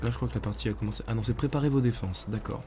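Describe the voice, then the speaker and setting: A man with a cold, chatting in slang and getting excited while commenting on a game to his viewers. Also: medium pitch, rapid-fire, slight background noise. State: has a cold. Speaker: gamer. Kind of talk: casual gameplay commentary. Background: game music and sounds.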